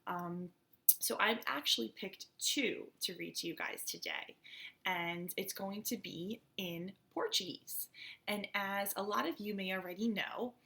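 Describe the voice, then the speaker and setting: Chatty woman principal with a gravelly voice. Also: mid-range voice, measured pace. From United States. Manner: relaxed and chatty, with many fillers. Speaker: school principal. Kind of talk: reading a storybook aloud to children.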